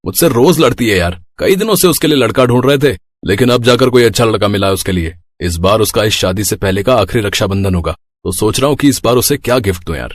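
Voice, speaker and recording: A man's voice: on the low side, animated, fast talker, few pauses, dry recording. A man voicing a cheeky, blunt young office friend in a dramatized story, talking casually in slangy, joking banter. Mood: excited, joking.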